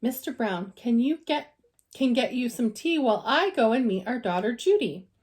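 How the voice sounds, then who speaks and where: A woman voicing a warm-hearted, decisive middle-aged wife and mother in a storybook, brisk and given to exclaiming. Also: fairly high pitch, lively intonation, natural voice.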